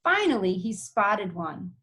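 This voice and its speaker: Animated woman reading a children's story aloud. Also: mid-range voice, takes it slowly. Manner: explains words as she reads. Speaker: read-aloud host.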